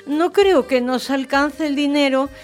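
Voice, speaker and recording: High-pitched, eager English wife. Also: female voice, a little slow. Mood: eager, apologetic. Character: eager, chirpy English wife, sociable and deferring. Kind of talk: radio theatre acting.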